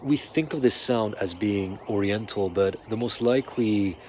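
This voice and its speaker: A young man with a fairly low voice talks straight to his phone camera at home. He explains with earnest emphasis, rambling and restarting his sentences as he goes. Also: normal speed, a little hiss or hum. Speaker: music history presenter. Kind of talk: casual explanatory talk to camera. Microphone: phone camera at arm's length.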